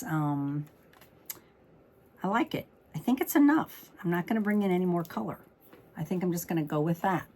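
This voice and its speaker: A woman's voice: lowish voice, a little mellow, a little hiss or hum. A woman with a slightly froggy voice hosting a craft tutorial, chatting informally and thinking aloud as she works. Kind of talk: chatty card-making demonstration.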